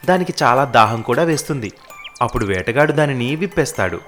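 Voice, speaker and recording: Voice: medium pitch, lively intonation, a little fast, a bit bright, soft background music. A storyteller narrating a scripted animal fable for children.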